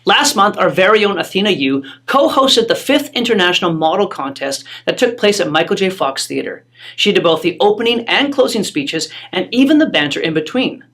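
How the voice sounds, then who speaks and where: School newsletter narrator, formal, measured read. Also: mid-range voice, expressive, fairly bright tone. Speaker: newsletter presenter. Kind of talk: scripted announcement narration.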